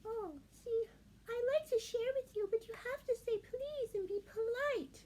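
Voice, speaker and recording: A woman's voice: very high voice, normal speed, dark tone, voice pushed up. A woman voicing a prim, kindly little sock bunny puppet that teaches manners in a children's show.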